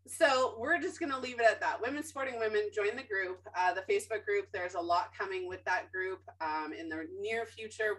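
A woman's voice: medium pitch, pushed and bright, far from the mic, rough recording. A woman hosting a podcast in a casual, chatty way, opinionated and emphatic, with lots of ums and self-corrections. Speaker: podcast host.

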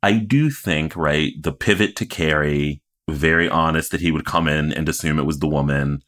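A man's voice: a bass voice, normal speed, fairly bright tone. A man co-hosting a podcast, chatting casually and analytically about television with emphatic opinions. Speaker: podcast co-host. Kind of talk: casual TV recap chat.

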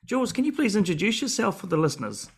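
A man's voice: sits high, very animated, fast, vocal fry, slightly rough. A man hosting a podcast, opening with an upbeat, welcoming scripted intro. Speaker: podcast host.

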